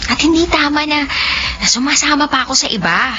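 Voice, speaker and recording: A woman's voice: high-pitched, expressive, fairly quick, sharp tone. An actress playing a wronged, pleading young woman in love in a radio drama. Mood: uneasy, apologetic. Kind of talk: Ilocano-series radio drama acting in Tagalog. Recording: muffled lo-fi drama audio with music.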